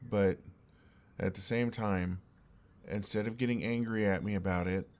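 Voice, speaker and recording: A young man playing an exasperated, earnest and oblivious best friend in an intimate audio roleplay, speaking in a halting, conversational way. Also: on the low side, steady tone, a little mellow, close to an everyday voice. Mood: earnest, pleading.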